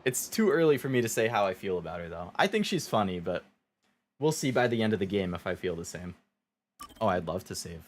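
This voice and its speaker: A man's voice: low voice, very animated, a little fast, a little hiss or hum. A man giving casual, conversational commentary as he streams a video game, musing as he goes. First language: English. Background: game music and dialogue.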